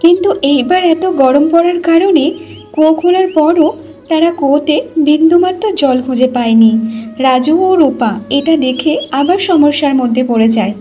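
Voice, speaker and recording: Woman narrator, high pitch, soft mellow tone, scripted folk-tale storytelling, faint music. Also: moderate pace.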